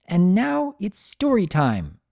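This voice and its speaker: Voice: medium pitch, wildly swooping pitch, slow, creaky, warm tone, no room sound, studio quality, voice pushed up, heavily acted. A performer voicing a bouncy, earnest little pony girl with an eager, childlike delivery, in a dramatic fan fiction reading. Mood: excited. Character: Sunny, bouncy excitable little filly girl, earnest and playful.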